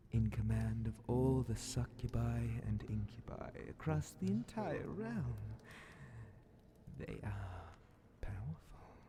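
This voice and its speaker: A man voicing a scheming demon Grand Vizier in a whispered ASMR fantasy roleplay, sly, menacing and condescending, with breathy, theatrical, drawn-out phrasing. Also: background noise. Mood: wistful, calculating. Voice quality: breathy whispering mixed with low gravelly creak.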